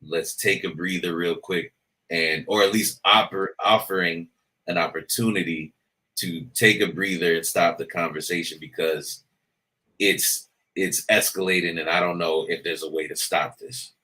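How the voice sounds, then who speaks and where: A man with a big, booming voice, thoughtfully talking through a point as a podcast guest. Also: fairly even intonation, bright, forceful tone. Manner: thoughtful, measured, talks through scenarios. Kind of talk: casual podcast discussion.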